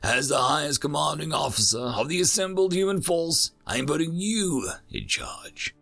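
A cocky, foul-mouthed soldier officer who throws orders around in blunt slang, as a man puts on a higher, livelier voice that jumps up and down in pitch. Bossy and brash, a storybook grunt. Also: big pitch swings, normal speed, vocal fry, pushed and bright, soft background music, exaggerated voice. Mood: gruff bossy.

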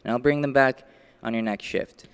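A man playing a brisk, insistent doctor in an old-time radio play, courteous on the surface with a quiet menace. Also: mid-range voice, clear, resonant tone, natural voice. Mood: coolly courteous. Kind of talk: 1940s radio play acting. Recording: reverberant hall sound.

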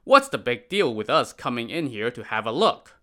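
A man's voice: mid-range voice, very animated, moderate pace, fairly bright tone. A man voicing brash traveling medicine peddlers who mock the guards with crude talk. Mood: scornful. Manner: mocking, crude.

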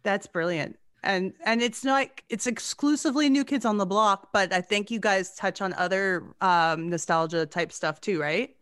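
A woman's voice: medium pitch, normal speed, hardly any pauses, fairly bright tone, smooth, no room sound, studio quality. A woman in her forties hosting a podcast interview, chatty and effusive, laughing often. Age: 40s. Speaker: podcast host. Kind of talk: casual interview chat.

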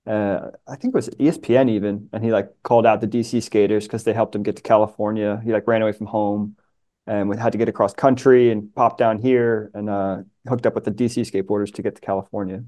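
A man's voice: lowish voice, fairly even intonation, fast talker, dark tone. A middle-aged American man from New York chatting casually about his own experiences in an informal conversation. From New York, USA. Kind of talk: casual chat.

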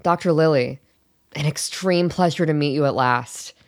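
Radio play role: a woman as a poised, formal scientist, very low female register, measured delivery. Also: natural voice. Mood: ingratiating. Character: polished, self-assured female doctor with an agenda. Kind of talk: radio drama acting.

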